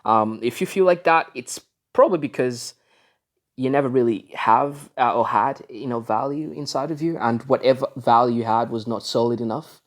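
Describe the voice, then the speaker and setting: A man's voice: mid-range voice, normal speed. A man from Africa giving reaction commentary on a video, lecturing his viewers with frequent restarts and fillers.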